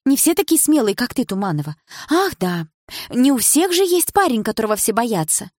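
A woman reading an audiobook, voicing a defensive, resentful teenage schoolgirl who turns shrill and accusing. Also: expressive, moderate pace, no echo, clean studio sound. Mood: bitter. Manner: shrill, accusing, near shouting.

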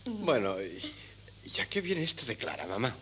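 An actor playing a worldly, easygoing young son in a Spanish radio comedy, speaking with a Castilian accent and a wry manner. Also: male voice, mid-range voice, wildly swooping pitch, normal speed, fairly bright tone. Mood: amused, bemused. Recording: faintly noisy old radio cassette.

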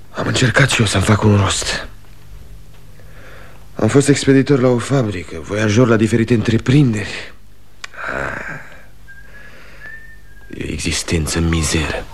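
A man's voice: on the low side, long pauses, slight background noise, close to an everyday voice. An actor playing a disillusioned man in his thirties, a moody and weary elder son in a radio drama. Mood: brooding, weary. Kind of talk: 1960 Romanian radio theatre acting.

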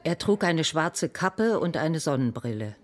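A woman's voice: low voice, sing-song, measured pace. An actress in a radio crime drama playing an indignant, shaken woman witness, querulous and brusque. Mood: cooperative. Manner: querulous, brusque, easily agitated.

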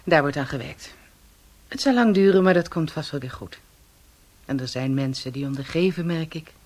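A woman playing a brisk, matter-of-fact police investigator with a dryly teasing manner in a naturalistic radio drama. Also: low-pitched, darkish tone. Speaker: actor in a radio play.

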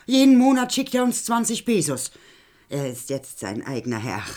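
A woman's voice: mid-range voice, sing-song, normal speed, warm tone, close to an everyday voice. An actress playing a proud, trusting older mother in a radio play, speaking insistently and expressively. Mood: defiant hope. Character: proud, trusting old mother defending her son.

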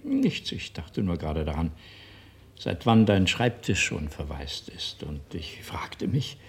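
An actor in a radio drama playing a bitter, sardonic drinker who needles with false solicitude. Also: male voice, sits low, sing-song, slow, heavily acted. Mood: needling.

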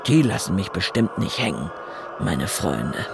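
A wry, brooding man with a low, gravelly voice. His delivery is slow and swinging in pitch, colloquial and resigned, in a noisy radio drama scene. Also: very animated, heavily acted. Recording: noisy ambience.

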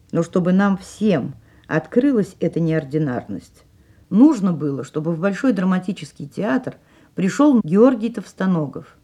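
A woman's voice: fairly low pitch, warm tone. A woman hosting a radio programme as a theatre critic, delivering scripted narration.

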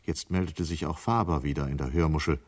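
A man with a very low-pitched voice narrates a radio play. He reads scripted literary prose as the story's narrator. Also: fairly even intonation, brisk, background noise.

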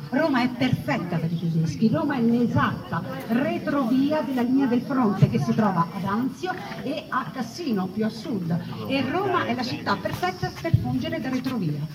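A woman with a Roman Italian accent delivering a theatrical historical monologue, with emphatic repetition, rhetorical questions and wry colloquial asides. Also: fairly high pitch. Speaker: storyteller performer. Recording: noisy, distant live recording with ambience.

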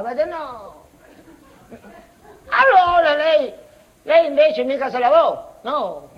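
An actress playing an unhinged, childlike former schoolteacher in a rambling tragicomic stage monologue, halting and repeating herself, swinging between outbursts and muttering. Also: female voice, very high voice, a bit bright, full character voice. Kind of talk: theatrical comic-tragic monologue, broken invented dialect. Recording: dull, noisy theatre recording.

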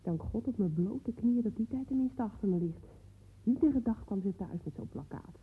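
A woman's voice: mid-range voice, animated, moderate pace, slightly rough. A woman playing a bossy, scornful mother in a radio play, nagging sarcastically in colloquial speech. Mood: grumbling. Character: bossy, nagging, scornful mother waiting at her daughter's school. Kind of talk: naturalistic radio play dialogue. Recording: dull, muffled old radio play recording.

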